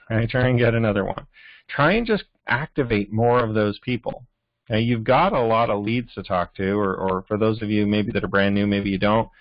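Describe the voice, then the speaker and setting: A man's voice: fairly low pitch, dry recording, muffled recording. A man leading an informal sales training call, speaking instructively and often checking in with short tag questions. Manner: instructive, repeatedly checking in with "okay?". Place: live team conference call.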